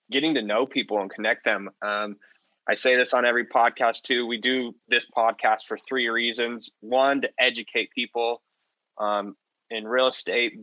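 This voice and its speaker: Bright-voiced male podcast host, dry close sound. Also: mid-range voice, dry recording, low-quality recording. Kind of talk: casual conversational podcast wrap-up.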